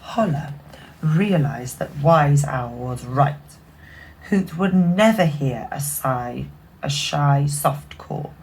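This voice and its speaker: A woman reading a children's picture book aloud expressively, dropping into hushed asides. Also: low-pitched, expressive, slow, a little hiss or hum, rough recording. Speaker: bedtime story reader.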